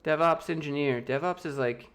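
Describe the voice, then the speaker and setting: A man's voice: on the high side, moderate pace, a bit bright. An American man hosting a livestream, reading viewer questions aloud and answering them in a loose, joking, offhand way. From United States. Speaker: YouTube host answering chat. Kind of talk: casual livestream Q&A.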